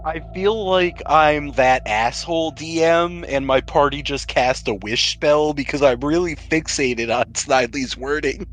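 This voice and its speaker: A man's voice: a little high, measured pace, hardly any pauses, fairly bright tone. A man running a tabletop game as game master, narrating and bantering in a playful, teasing way.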